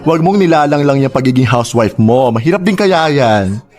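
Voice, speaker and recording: An actor with a Filipino accent playing a sassy, outspoken, protective friend in a Tagalog radio drama. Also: male voice, mid-range voice, expressive, brisk, a little mellow, natural voice. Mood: indignant. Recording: music under the voice.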